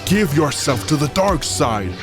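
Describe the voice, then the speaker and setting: A man's voice: a little high, sing-song, a little slow, over loud music. Man acting a menacing, grandiose Sith lord. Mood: commanding, tempting. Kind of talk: fan audio drama acting.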